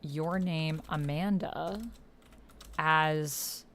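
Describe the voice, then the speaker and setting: A woman's voice: low-pitched, fairly even intonation, very slow, a bit bright, a little hiss or hum. A woman hosting a livestream, chatting informally, thinking aloud and reading on-screen text. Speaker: livestream host and player.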